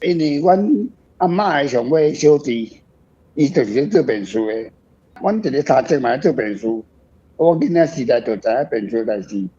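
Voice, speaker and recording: Older Taiwanese man, husky and mellow. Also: mid-range voice, expressive, moderate pace. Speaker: author, guest. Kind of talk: casual reflective talk on own writing. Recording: muffled, slightly dull sound.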